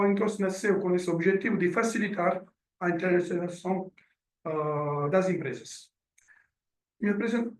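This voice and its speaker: A man's voice: high-pitched, darkish tone. A middle-aged man from Italy, with an accent mixing Italian and French, giving a hesitant business presentation with many fillers. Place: meeting room. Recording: roomy sound from a distant mic.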